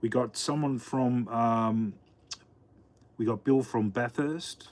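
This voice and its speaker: An Australian man chats live to his viewers from his home kitchen while he cooks dinner. He rambles along in a relaxed, unscripted way, full of ums, uhs and restarts, and his voice sits at an ordinary middle pitch. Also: slow pace. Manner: rambling, chatty, many fillers and restarts. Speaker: livestream host. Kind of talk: casual live chat while cooking.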